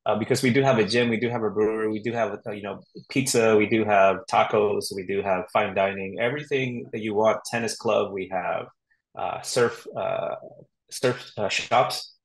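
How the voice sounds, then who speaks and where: A middle-aged man from Honduras, a real estate agent chatting as an interview guest in a relaxed, rambling way with fillers and restarts. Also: lowish voice, moderate pace, vocal fry, low-quality recording. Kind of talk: casual interview chat.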